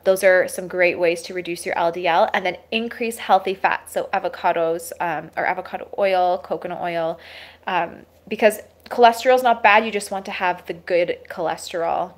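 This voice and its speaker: Woman with a sore throat, giving advice live. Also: medium pitch. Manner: casual, advisory, many fillers and restarts. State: sore throat, losing her voice. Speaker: holistic health host. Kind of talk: live Q&A, reading and answering viewer questions. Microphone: webcam or phone livestream.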